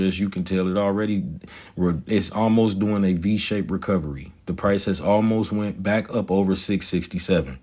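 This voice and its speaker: Swaggering low-voiced man talking stocks. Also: a little mellow. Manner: swaggering, profane, slangy, talks to chat. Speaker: stock market livestreamer. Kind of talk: casual livestream chat about stocks.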